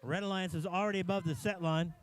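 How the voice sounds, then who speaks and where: A man giving live play-by-play at a robotics competition, briskly calling out scores and action. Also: high voice, fairly even intonation. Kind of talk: live event commentary. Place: competition venue.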